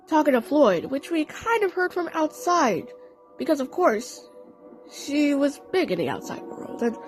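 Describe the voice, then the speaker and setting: Girl narrating a fan story, high voice, really up and down, music behind her. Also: rough recording.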